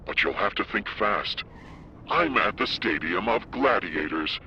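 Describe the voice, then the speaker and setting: Low theatrical killer, swooping delivery. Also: male voice, lively intonation, highs cut off, slight background noise, exaggerated voice. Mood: menacing. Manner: mocking, theatrical, deliberate. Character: theatrical male serial killer, taunting and cultured. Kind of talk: video game villain acting. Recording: loud music behind the voice.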